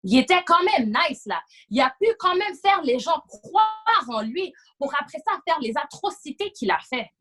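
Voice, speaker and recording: High-pitched Quebec woman, emphatic. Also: big pitch swings, moderate pace, hardly any pauses, a bit bright. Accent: Quebec French. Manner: emphatic, repeats phrases, mixes in English. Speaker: podcast panelist. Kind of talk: casual panel discussion.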